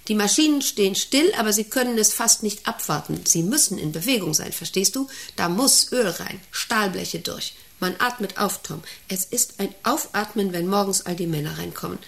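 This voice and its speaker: Creaky-voiced, domineering woman boss. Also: mid-range voice, lively intonation, normal speed, few pauses. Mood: brooding, rapt. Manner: rambling, commanding, intrusive questioning. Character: domineering, eccentric female factory director, needling an employee. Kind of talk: German radio play acting.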